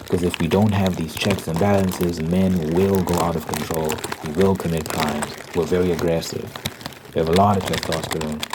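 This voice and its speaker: A man hosting an ASMR ramble, giving opinions and reading aloud in deliberate phrases with pauses. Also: sits low, background noise. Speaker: host of an ASMR rambling channel.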